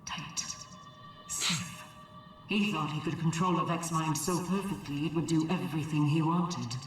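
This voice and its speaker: A woman playing a resentful, guarded female station AI in a video game, speaking in a measured, clipped, dry way. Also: low voice. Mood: firm, wry. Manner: clipped system announcements, deliberate and dry. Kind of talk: video game cutscene acting. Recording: very echoey, distant, music behind.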